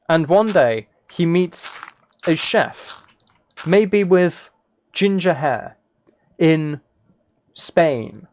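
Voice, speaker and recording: A man with a fairly high voice streams live, chatting casually with his viewers. He rambles and muses aloud, often stumbling and restarting a phrase. There is faint background noise, with typing and clicks behind him.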